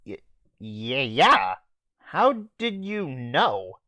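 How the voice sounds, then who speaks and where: A man's voice: medium pitch, wildly swooping pitch, extremely slow, pushed and bright, no echo. A teenage boy casually recapping an anime episode in an offhand, hesitant way with fillers and swearing. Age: teens. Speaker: anime reviewer.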